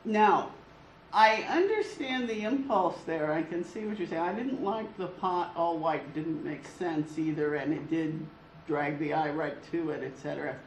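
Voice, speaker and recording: A teacher giving a classroom critique of student work, thinking aloud in a halting way. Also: medium pitch. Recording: echoey room with faint noise.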